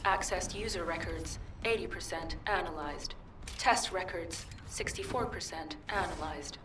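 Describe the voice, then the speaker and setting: A woman's voice: fairly low pitch, a little slow, echoey room, recorded from a distance, background noise, close to an everyday voice. A woman voicing a cold, mission-driven cyborg infiltration agent in a video game, with a clipped, businesslike delivery. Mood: focused, clinical.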